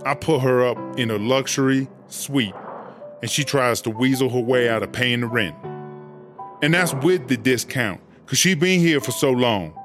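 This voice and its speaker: Comedy audio drama: man voices cocky streetwise woman convict, medium pitch, slangy, loud music underneath. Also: moderate pace, lightly acted. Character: swaggering, streetwise female prison inmate landlady and rapper.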